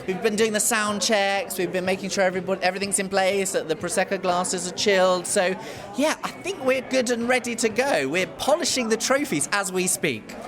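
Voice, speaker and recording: A man's voice: high-pitched, fairly quick, a crowd in the background. A man, an awards organiser, speaking effusively and with a lot of enthusiasm as an interview guest. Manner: effusive, gushing, lively.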